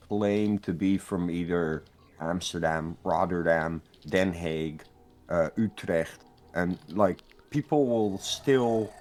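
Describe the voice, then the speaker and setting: Dutch man, native dutch, very low voice, slow unhurried delivery, faint background hiss. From Netherlands. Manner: slow, hesitant, repeats words. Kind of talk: casual group chat while gaming.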